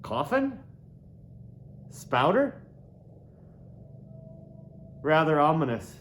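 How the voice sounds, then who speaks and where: Male audiobook reader for a classic novel: very unhurried, theatrical, savouring words, slightly raised pitch. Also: sing-song, a little hiss or hum. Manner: deliberate, dramatic, lingers on and repeats words. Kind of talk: literary narration, theatrical reading of a classic novel.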